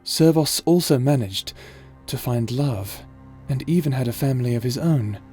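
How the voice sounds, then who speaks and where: A man's voice: medium pitch, animated, normal speed, warm tone, faint music behind. A man reading a scripted story epilogue as narrator.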